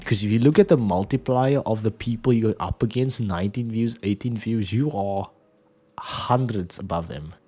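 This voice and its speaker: A man's voice: on the low side, moderate pace, mellow, husky. A man streamer with a stuffy, nasal voice from a sinus cold, chatting casually and jokingly about games. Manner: laid-back, joking, calls listener dude and bro. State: sick with sinus trouble.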